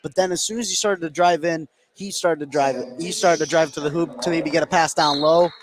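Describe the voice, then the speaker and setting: A man's voice: fairly high pitch, fairly even intonation, brisk, crowd noise. A man giving conversational color commentary on a basketball game, explaining plays in loose phrasing. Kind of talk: conversational sports analysis. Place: indoor basketball gym.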